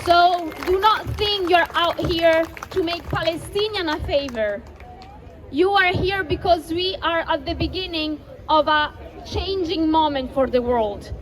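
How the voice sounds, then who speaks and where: High-voiced woman rousing an outdoor crowd. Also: a little slow. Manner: impassioned, rousing, repeats phrases. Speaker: rally speaker. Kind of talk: protest rally speech. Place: outdoor city square rally. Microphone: handheld mic through PA. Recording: outdoor PA with crowd and street noise.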